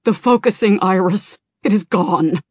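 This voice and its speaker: A woman reading an audiobook, voicing a frantic male dragon messenger who shouts his alarm. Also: mid-range voice, unhurried, breathy edge, no echo. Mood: panicked. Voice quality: shouting, breathless urgency.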